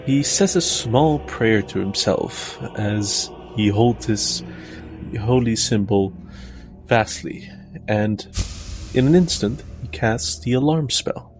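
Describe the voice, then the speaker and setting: A man with a mid-pitched voice describes what his game character does, speaking as a player at the table. Music plays clearly behind him. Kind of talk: describing a character's actions in third person.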